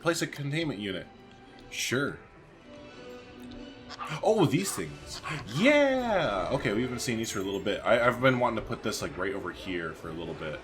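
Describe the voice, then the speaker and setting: A man's voice: fairly low pitch, bright, forceful tone. A man doing casual let's-play commentary, chatty and informal, thinking aloud as he plays. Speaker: gaming video host. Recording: faint background noise.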